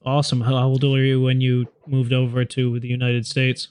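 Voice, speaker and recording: A man's voice: medium pitch, completely flat delivery, brisk, a little mellow, smooth. A man hosting a casual interview podcast, friendly and talking with restarts. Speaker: podcast host.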